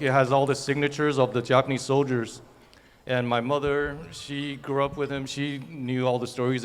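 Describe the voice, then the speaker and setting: A man from Taiwan giving an earnest, somewhat halting speech at a forum. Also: mid-range voice, clean tone. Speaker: guest speaker. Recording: reverberant hall.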